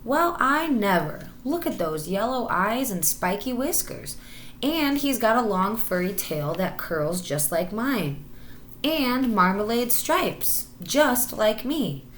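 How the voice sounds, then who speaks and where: A woman's voice: on the high side, big pitch swings, slow, fairly bright tone, echoey room, slight background noise, pitched above the performer's own voice, exaggerated voice. A woman voicing a boastful, proud marmalade cat with a grand, scornful manner, in a storybook. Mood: smug wonder.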